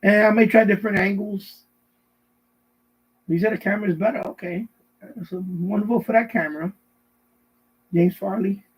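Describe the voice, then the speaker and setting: A middle-aged man with a husky voice chats casually on a live stream, rambling and repeating himself as he answers viewers. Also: sits high, fairly even intonation, normal speed, pauses often, low-quality recording. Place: basement at home.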